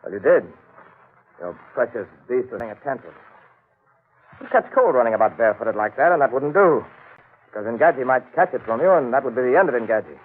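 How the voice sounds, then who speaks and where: Tinny vintage radio actor as sardonic, commanding husband. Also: male voice, mid-range voice, expressive, a little fast, natural voice. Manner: theatrical, emphatic delivery. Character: domineering, sardonic husband, turning frantic and protective under danger. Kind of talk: 1940s radio mystery acting. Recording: tinny band-limited old radio recording.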